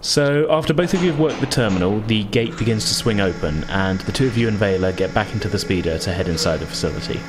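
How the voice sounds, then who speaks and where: A man's voice: on the low side, expressive, fast, few pauses, noisy background. A man narrating a tabletop roleplaying game as game master, descriptive and conversational.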